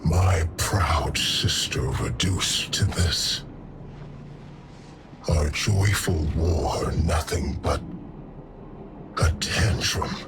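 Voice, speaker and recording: Alien god-warrior, video game, very deep voice, unhurried, gravelly, solemn, proud, archaic phrasing. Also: male voice, over loud music, heavily acted. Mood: bitter contempt. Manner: slow, solemn, archaic declamation. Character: grim, proud alien god-warrior mourning fallen sisters. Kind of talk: video game voice acting, scripted monologue.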